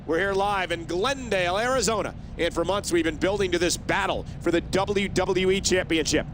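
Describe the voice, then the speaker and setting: A man playing an excitable play-by-play wrestling announcer, giving scripted commentary in a video game. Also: high-pitched, moderate pace, a little hiss or hum. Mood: excited build-up.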